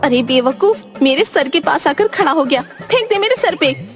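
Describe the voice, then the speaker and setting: Woman playing shrill cartoon housewife, extremely high voice, bossy, melodramatic, faint music behind. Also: expressive, normal speed. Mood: scolding. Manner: scolding, melodramatic. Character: shrill, exasperated village wife, bossy mistress. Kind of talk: Hindi cartoon story dialogue.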